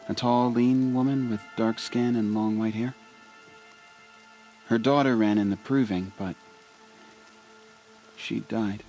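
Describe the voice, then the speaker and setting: Man acting a gentle, earnest young tribesman over music. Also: fairly low pitch, close to an everyday voice. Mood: informative, regretful. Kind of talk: video game cutscene acting.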